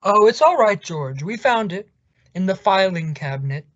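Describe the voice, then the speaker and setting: A blustery older boss in a vintage radio sitcom, dry and sardonic. The recording is dull and close, without room sound. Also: male voice, high voice, animated, normal speed, no room sound. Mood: sarcastic. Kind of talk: 1950s radio sitcom acting.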